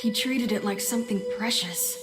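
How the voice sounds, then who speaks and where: A woman's voice: medium pitch, moderate pace, lightly acted. A woman voicing an indignant, hot-tempered young boy who acts and narrates his memories in an audio drama. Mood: reflective narration. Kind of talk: audio drama acting with first-person narration. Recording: long reverb, music behind the voice. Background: background music.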